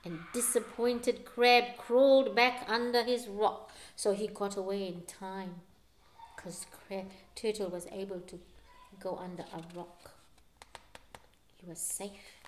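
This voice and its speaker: A woman's voice: medium pitch, flat delivery, slow, slight background noise. A middle-aged woman reading a picture book aloud to young children at home, with dramatic delivery and explanatory asides. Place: living room at home.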